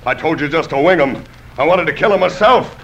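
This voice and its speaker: An actor playing a ruthless, hot-tempered bandit chief with an American Western accent, bullying and barking orders in a radio western. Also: male voice, fairly high pitch, animated, brisk, fairly bright tone. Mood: angry, menacing. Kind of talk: old-time radio western acting. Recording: muffled, hissy old radio drama recording.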